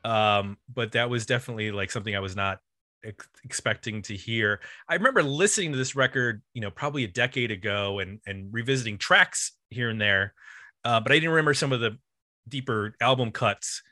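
A man casually discussing music as a podcast host, talking in a hesitant, halting way. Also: medium pitch, sharp tone, no room sound, studio quality.

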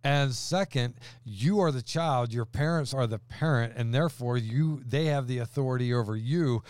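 A man's voice: medium pitch, darkish tone, clear, resonant tone, no room sound, studio quality. A man, a pastor, chatting casually and reading scripture aloud with emphasis.

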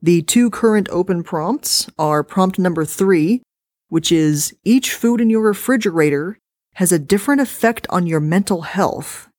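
A woman hosts a podcast in a loose, chatty way, bouncing between giddy outbursts and quick asides. Her voice sits a little low for a woman, and the sound is close and dry. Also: animated, darkish tone, no echo. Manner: bubbly and playful, jumping in pitch. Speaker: podcast host. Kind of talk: casual chat.

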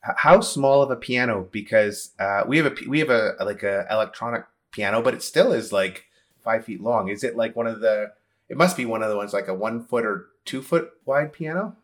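A man's voice: lowish voice, fairly quick. A man hosting an interview in a casual, conversational style. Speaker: interview host.

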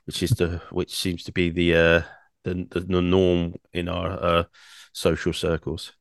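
A young man, co-hosting a casual podcast, rambling with many fillers and restarts, his throat croaky from singing. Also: deep voice, monotone, a little slow, no room sound, studio quality. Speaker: podcast co-host.

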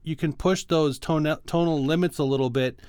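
A man's voice: a little high, normal speed, darkish tone, smooth, no room sound, clean studio sound. A man co-hosting a podcast, talking casually and technically about music in an informal way peppered with "like" and "you know". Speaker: podcast co-host.

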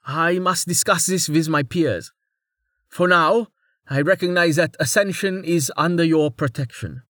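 A man's voice: on the high side, a little slow, fairly bright tone, no room sound, studio quality, voice pushed up. A man voicing a winged bird-man nobleman who speaks in stiff, overly courteous formal phrases. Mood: pompous.

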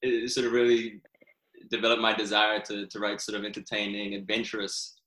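A man's voice: on the low side, monotone, a little fast, a bit bright, reverberant, rough recording. A male author guest with a slight South African accent, chatting casually with many fillers and restarts. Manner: rambling, many fillers and restarts, laughs easily. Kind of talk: casual interview chat.

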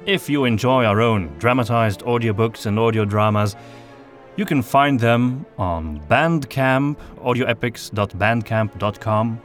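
A man's voice: medium pitch, a little slow. A male podcast host speaks in a mid-range voice. He talks casually and a little hesitantly, as in conversation, with faint music in the background.

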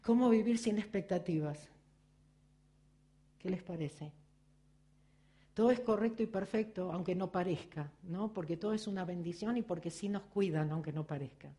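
A middle-aged Argentine woman speaks in a mellow, rather low voice, telling her own story as a motivational talk. She keeps checking in with her listeners through little rhetorical questions, steady and reflective. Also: normal speed, long pauses. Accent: Argentine Spanish. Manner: reflective, personal, addressing the audience with frequent rhetorical questions. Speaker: spiritual speaker.

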